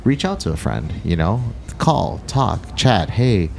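A lower-voiced man from the Philippines and Detroit chats about restaurant life in loose, rambling sentences. He puts himself down with a laugh and acts out other people's remarks. Faint noise sits under the recording. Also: very animated, slow, gravelly. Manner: rambling, self-deprecating, acts out customers. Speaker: chef guest. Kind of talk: casual chat.

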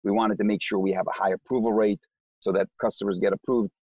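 A man's voice: lowish voice, little pitch movement, quick pace, mellow, breathy edge, no room sound, muffled recording. A man with an Israeli accent, a company co-founder, explaining his business in an interview and often repeating words as he starts sentences.

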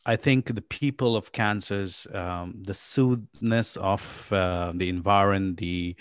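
A man's voice: deep voice, fairly even intonation, slow pace, smooth, no room sound, clean studio sound. A middle-aged man from Pakistan, a startup founder chatting in a podcast interview, rambling with lots of ums and uhs. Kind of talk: casual interview chat.

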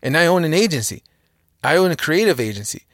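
A man's voice: a little high, big pitch swings, fast, fairly bright tone, dry recording, studio quality. A man in his thirties giving earnest, motivational advice in a casual podcast conversation. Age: 30s.

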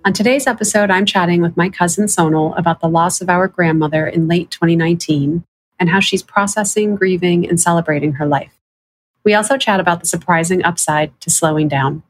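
Low-voiced upbeat woman podcast host. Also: brisk, low-quality recording. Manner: upbeat, laughs often, encouraging. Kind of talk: casual interview chat.

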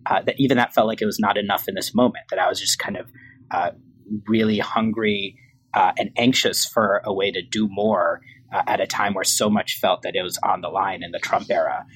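A man's voice: fairly low pitch, steady tone, brisk, a bit bright, slight background noise, low-quality recording. A man in his late thirties, a guest and law professor, speaking reflectively in a podcast interview with many fillers and restarts. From New York, raised in Bangkok.

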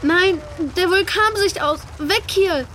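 Kids' audio drama: woman plays weak, dazed small boy, high-pitched, dragging words, faint ambience. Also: a bit bright, a little hiss or hum, heavily acted. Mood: panicked. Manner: slow, dazed murmuring. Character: Aki, weak exhausted little brother, dazed young boy. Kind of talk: children's audio drama acting.

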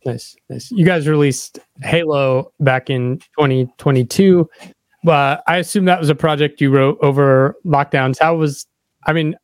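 A man's voice: fairly high pitch, a little slow, dry recording. A man hosting a podcast, asking questions in a casual, conversational interview style.

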